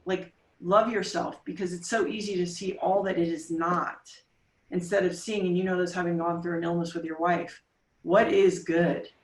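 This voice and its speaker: A woman in her forties, a podcast guest, talking casually with emphatic, coaching punch and quick rhetorical questions. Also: fairly low pitch, fairly even intonation, recorded from a distance. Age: 40s. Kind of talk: casual interview talk. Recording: roomy sound, voice off-mic.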